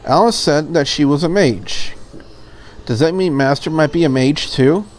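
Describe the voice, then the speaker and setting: A prim, courteous young maid from a visual novel, read by a man in a somewhat high voice. She is formal, curious and a little lonely, with faint noise behind her. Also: moderate pace, natural voice. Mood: musing.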